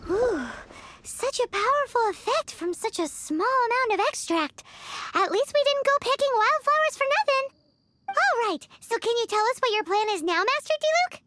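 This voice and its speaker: A woman voicing a tiny, cheeky childlike fairy companion girl in a video game, talking excitably. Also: very high voice, normal speed, a little hiss or hum, heavily acted. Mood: questioning. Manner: excitable, refers to self in third person. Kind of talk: video game character acting.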